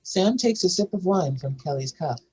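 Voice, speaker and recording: A narrator with a husky, slightly rough voice reads screenplay stage directions and scene headings. The delivery is scripted narration for a table read. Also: mid-range voice, animated, moderate pace, warm tone.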